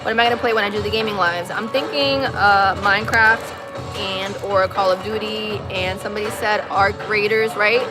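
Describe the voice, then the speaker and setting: Expressive young woman streamer, music behind. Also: medium pitch, normal speed. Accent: Puerto Rican-tinged American. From Massachusetts, USA. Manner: expressive, slangy, opinionated. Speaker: live streamer. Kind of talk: casual livestream chat.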